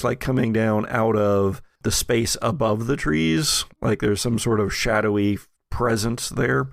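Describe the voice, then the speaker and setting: Man, game master, gravelly, mid pitch, halting narration, dry close sound. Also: no echo. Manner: halting, filler-heavy narration.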